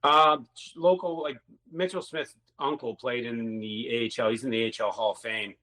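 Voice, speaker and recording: A man's voice: mid-range voice, steady tone, moderate pace, bright, forceful tone, low-quality recording. A middle-aged man, a former hockey player, telling rambling stories in a casual interview and swearing now and then.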